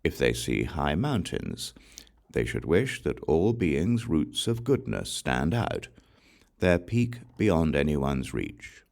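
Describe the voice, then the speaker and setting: A man reads sacred text in a very deep voice, slow and deliberate. His delivery is solemn and formal, yet the pitch moves expressively through each measured phrase, as in a careful audiobook narration. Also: very animated, vocal fry, a little mellow. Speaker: audiobook reader. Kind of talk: scripted reading of Buddhist scripture.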